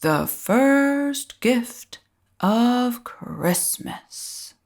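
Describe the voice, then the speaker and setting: A woman voicing a kindly, commanding old man in a storybook, speaking slowly and deliberately. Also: on the high side, lively intonation, vocal fry, darkish tone, full character voice. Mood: proclaiming.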